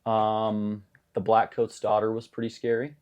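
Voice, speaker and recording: A man's voice: on the low side, monotone, measured pace, a little mellow, highs cut off. A young man answering interview questions in a casual, hesitant way, with many fillers and restarts. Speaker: gym member being interviewed.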